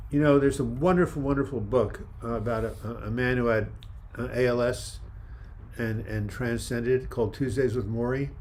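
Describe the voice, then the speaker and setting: An older man hosting a casual live chat, reading viewer comments in a calm, soft-spoken way with frequent restarts. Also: mid-range voice, moderate pace. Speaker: livestream host.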